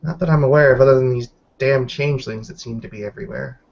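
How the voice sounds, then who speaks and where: Man playing a gravelly, guarded werewolf newcomer. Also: mid-range voice, fairly even intonation, quick pace, darkish tone. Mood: candid. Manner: careful, formal, hesitant phrasing. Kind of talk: improvised tabletop roleplay. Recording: dull lo-fi voice recording.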